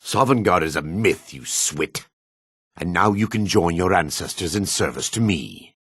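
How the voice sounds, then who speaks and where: An actor playing a jaded, sardonic male dark elf in a fantasy video game, with a husky voice. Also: low-pitched, animated, measured pace, no echo, clean studio sound, exaggerated voice. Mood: contemptuous. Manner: sardonic, disdainful. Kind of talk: video game character voice acting.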